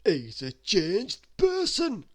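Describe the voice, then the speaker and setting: Woman putting on a slow, tubby, greedy storybook fellow. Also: mid-range voice, huge pitch swings, a little mellow, husky, voice pushed up, exaggerated voice. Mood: agreeing.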